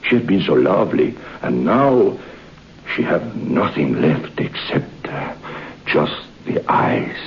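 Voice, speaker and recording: A male actor playing a grave French waiter in an old-time radio horror drama, speaking formal, halting broken English with a French accent. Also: very deep voice, creaky, heavily acted. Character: grave French waiter telling a ghostly tragic tale. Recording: muffled old radio recording with hiss.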